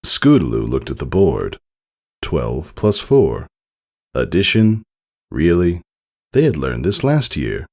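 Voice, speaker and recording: A man reads scripted fiction in a deep, creaky voice. His delivery is measured yet dramatic, rising and falling with very animated intonation. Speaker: fan fiction narrator. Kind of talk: scripted storybook narration.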